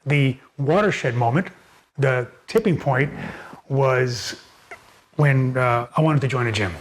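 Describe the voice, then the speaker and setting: A man's voice: mid-range voice, takes it slowly. An older man from Miami, speaking as an interview guest at home in his library, telling his life story casually with many fillers and repeated words. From Miami, United States.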